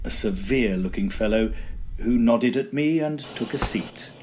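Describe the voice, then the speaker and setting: A man's voice: mid-range voice, expressive, moderate pace, darkish tone, slight background noise, close to an everyday voice. A man playing a fussy, genial Edwardian estate agent in a tongue-in-cheek audio drama, speaking in wordy, formal, old-fashioned phrases. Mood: measured storytelling. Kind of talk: tongue-in-cheek period audio drama, first-person storytelling and dialogue.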